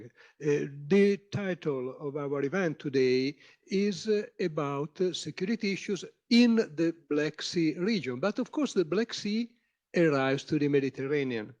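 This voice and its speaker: A man moderating a conference panel, pausing often with filler sounds as he introduces the next speaker. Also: on the high side, lively intonation, a little mellow, muffled recording. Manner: halting, many filler sounds.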